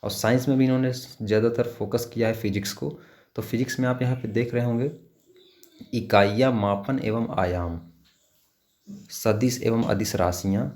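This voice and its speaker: Low-voiced man explaining exam prep. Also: a little mellow. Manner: repetitive, step-by-step advising. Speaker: exam preparation teacher. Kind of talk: informal instructional screen walkthrough.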